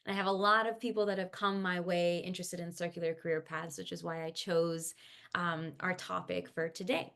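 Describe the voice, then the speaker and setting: A woman's voice: lowish voice, little pitch movement, fairly quick, creaky, a bit bright. A woman hosting an online panel talk over a video call, speaking casually with fillers and restarts and encouraging the audience. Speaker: host and founder of a climate career coaching agency. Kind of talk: webinar hosting.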